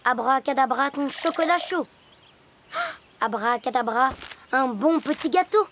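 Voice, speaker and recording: A woman's voice: high-pitched, very animated, measured pace, background noise. A woman voicing a careful, sensible little boy hippo in a cartoon, practical and a bit worried. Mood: matter-of-fact. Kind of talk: cartoon voice acting.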